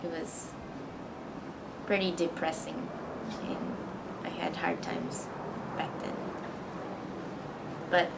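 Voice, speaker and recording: Soft-spoken young woman narrating. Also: fairly low pitch, flat delivery, slow pace, pauses often, heavy background noise. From Japan. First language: Japanese. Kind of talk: personal documentary narration. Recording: narration over clear music.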